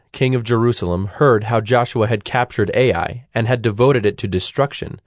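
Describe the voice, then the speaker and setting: A man narrating a dramatized audio Bible as a scripted storyteller, in a measured, solemn manner. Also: mid-range voice, a little mellow, no echo, clean studio sound.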